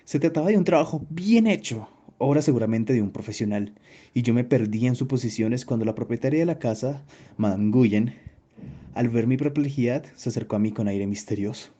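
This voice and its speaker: Man acting a very dark-voiced, pedantic plumber. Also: medium pitch, a little fast, highs cut off, lightly acted. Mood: earnest, puzzled. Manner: pedantic, wordy, speculative. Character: earnest, long-winded male plumber explaining a fault. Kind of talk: radio drama narrative monologue.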